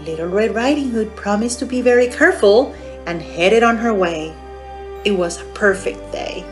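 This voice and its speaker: A woman narrating a storytime for young children as a library storyteller, in a simple, expressive manner. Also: mid-range voice, normal speed, faint music behind, rough recording. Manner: expressive, simple, asking the listeners questions.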